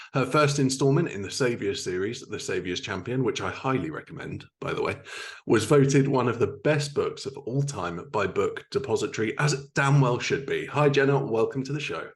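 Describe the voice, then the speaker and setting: A man hosting a podcast, introducing the show and interviewing a guest. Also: medium pitch.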